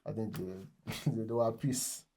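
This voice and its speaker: A young man from Nigeria, a podcast guest, answering in a measured, casual way with frequent "I mean". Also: on the low side, dark tone, slight background noise. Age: young adult. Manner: measured, says "I mean" often. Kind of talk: casual interview chat.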